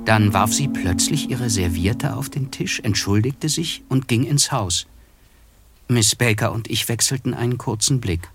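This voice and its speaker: An actor playing a reflective, observant man who narrates his memories in a measured, wry way for a German radio play. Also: mid-range voice, soft background music, natural voice. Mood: detached, observant. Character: reflective, observant male narrator recalling an evening.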